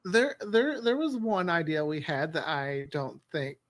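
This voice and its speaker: A man's voice: a little high, very animated, unhurried, a bit bright. A man talking casually as a scriptwriter on a behind-the-scenes panel.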